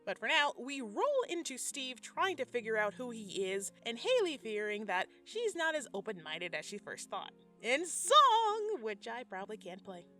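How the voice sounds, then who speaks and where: A woman's voice: on the high side, very animated, normal speed, bright, forceful tone. A woman narrating a scripted video commentary in a sassy, conversational way, with slangy asides. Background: background music.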